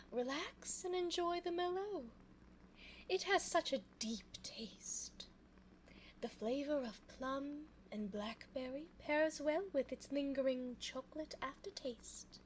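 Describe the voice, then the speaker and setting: A woman playing an elegant, cunning vampire in an ASMR audio roleplay, speaking formally and coaxingly in a soft voice close to the microphone. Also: high voice, lively intonation, slight background noise, rough recording. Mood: silky, hospitable. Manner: formal, genteel, slow, coaxing. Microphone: close binaural microphone.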